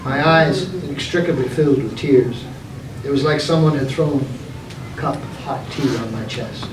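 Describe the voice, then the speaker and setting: A man reading his own story aloud in a theatrical, deliberate way, with dramatic pauses. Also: mid-range voice. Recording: echoey, noisy room, distant mic.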